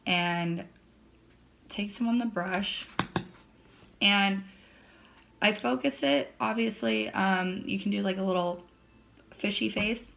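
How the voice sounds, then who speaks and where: A young woman with a slightly raspy voice, still recovering from laryngitis, chatting casually through a makeup tutorial with lots of fillers. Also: medium pitch, a bit bright. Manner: chatty, filler-heavy step-by-step explaining. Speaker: beauty vlogger.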